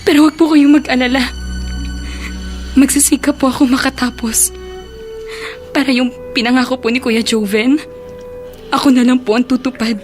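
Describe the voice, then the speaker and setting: A woman's voice: high-pitched, steady tone, moderate pace, pauses often, lightly acted. A woman acting a meek, respectful young niece in a Filipino radio drama, speaking politely and earnestly. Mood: ashamed, earnest. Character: dutiful, respectful young working student niece. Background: faint background music.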